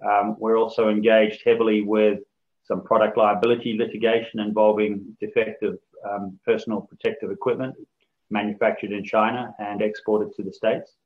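A man from New Zealand, head of a law firm office, giving a measured, formal business presentation over a video call with frequent ums. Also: on the low side, level tone, phone-quality sound.

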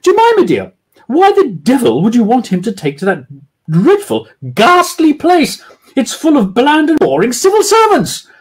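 A man reading aloud and voicing a haughty, posh woman with a high-pitched squawk and a drawn-out, schoolmistress-like manner. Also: huge pitch swings, a little mellow, full character voice. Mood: indignant. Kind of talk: storybook reading.

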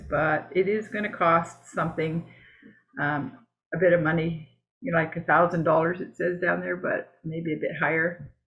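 A woman from Canada with a gravelly, creaky voice walks through her slides, stopping and restarting often between fillers. The sound is thin and tinny, like narrow video-call audio. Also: low voice, fairly bright tone. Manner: halting and conversational, with many fillers and restarts. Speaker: beekeeping presenter.

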